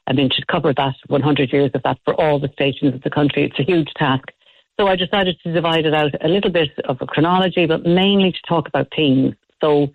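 A woman's voice: sits low, dry recording. An Irish woman, a lecturer and author, speaking as a radio guest, thoughtful with many fillers and restarts. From Ireland. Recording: tinny phone line.

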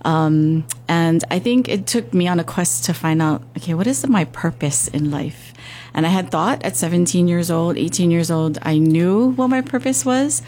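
A woman's voice: on the low side, moderate pace, dark tone, a little hiss or hum. A woman pastor in her fifties from Hawaii, telling her story candidly in a casual interview.

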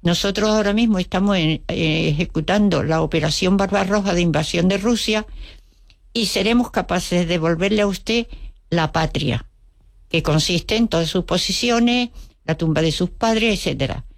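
An older woman with a low voice tells her story as an interview guest, her Spanish carrying an Andalusian accent over a Russian mother tongue. She acts out quoted dialogue and slips in wry jokes. The recording is dry and close. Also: normal speed, gravelly, dry recording. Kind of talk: storytelling reminiscence.

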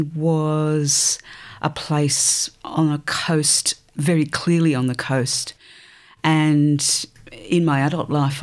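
An older woman with an Australian accent, a poet answering interview questions in a thoughtful, measured way, pausing between phrases. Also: low voice, darkish tone. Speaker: poet guest.

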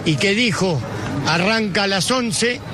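A man's voice: high-pitched, measured pace. A man in his fifties from Buenos Aires, speaking earnestly and from the heart in a live street interview. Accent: Buenos Aires Spanish. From Argentina. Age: 50s. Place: outdoors among a crowd. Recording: outdoor field mic in loud crowd. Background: loud crowd and street noise.

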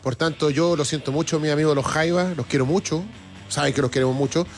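A Chilean-accented man hosts a live music show, reading listener comments in a chatty way. His voice sits a little high for a man and he keeps doubling back on his words. Faint music runs behind him. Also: steady tone, quick pace. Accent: Chilean Spanish. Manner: chatty, restarts and repeats words. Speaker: radio show host.

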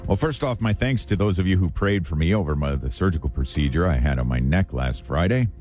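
A middle-aged man hosting a spiritual talk show, his voice hoarse and raspy, telling a personal story in an earnest, reflective way. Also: sits low, animated, a little fast, a little mellow, faint music behind. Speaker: host.

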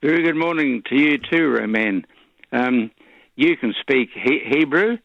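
A New man calls in to a radio show and chats politely. His voice has a gravelly edge. The call comes through a dull, muffled line that sounds very dry. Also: mid-range voice, animated, measured pace, dry recording. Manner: polite, chatty, gently punning. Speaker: caller. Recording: dull phone-line caller audio.

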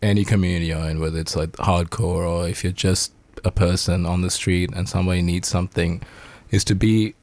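A man's voice: low-pitched, steady tone, a little fast, hardly any pauses, a little mellow, no echo. A Sri Lankan-born man in a band, chatting casually on a radio show, rambling, swearing and laughing as he talks. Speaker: band member guest. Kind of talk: casual radio interview chat.

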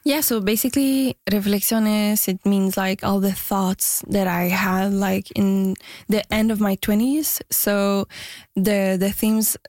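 Shy woman in her 30s, halting answers w lots of "like", dry close sound. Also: medium pitch, fairly even intonation, no echo. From Quebec, Canada. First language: French and Spanish. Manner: soft-spoken, hesitant, many fillers and restarts. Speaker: musician guest. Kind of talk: interview answers.

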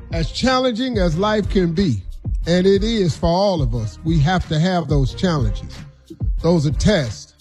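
High-voiced radio host, preachy and folksy. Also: male voice, darkish tone. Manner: preachy, grateful, talks to listeners with "man". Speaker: radio morning show host. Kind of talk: casual radio talk.

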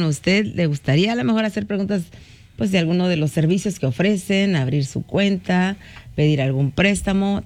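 A woman's voice: fairly low pitch, darkish tone. A woman from Mexico hosting a morning radio talk show, chatty with frequent fillers and backchannel interjections.

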